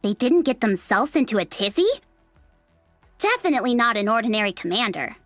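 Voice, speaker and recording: A woman playing a brash, cheeky young female android soldier in a video game, sceptical but easygoing, with a sassy, expressive delivery. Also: fairly high pitch, brisk, no room sound, faint music behind. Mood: wry, casual. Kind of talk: English video game voice acting.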